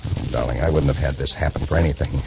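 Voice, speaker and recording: An actor playing an earnest, guilt-torn young doctor in a 1940s radio drama, speaking haltingly and apologetically. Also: male voice, extremely low pitch, fairly even intonation, gravelly, muffled recording, background noise. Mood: guilty, pleading. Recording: 1940s radio broadcast with music behind.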